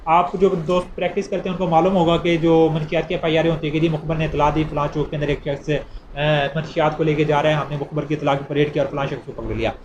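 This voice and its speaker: A man with a Pakistani accent giving an explanatory law lecture, deliberate when reading out and conversational when explaining. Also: a little high, little pitch movement, highs cut off, noisy background.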